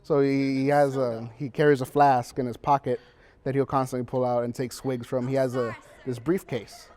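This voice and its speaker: Halting teenage boy, medium pitch. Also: little pitch movement, darkish tone. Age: teens. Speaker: student actor. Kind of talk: casual interview.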